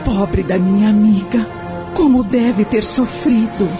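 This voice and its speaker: A woman's voice: medium pitch, measured pace, close to an everyday voice. A woman with a Brazilian accent acting a compassionate friend in an audio drama. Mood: pitying. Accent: Brazilian Portuguese. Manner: pitying. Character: Lúcia, compassionate female friend listening. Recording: background music under voice.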